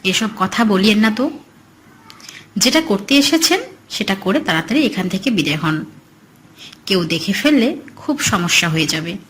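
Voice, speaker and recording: A woman's voice: mid-range voice, lively intonation, normal speed, pauses often, a bit bright, lightly acted. A woman voicing a blunt, scoffing, money-minded male graveyard night guard in a horror cartoon story. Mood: uneasy, blunt.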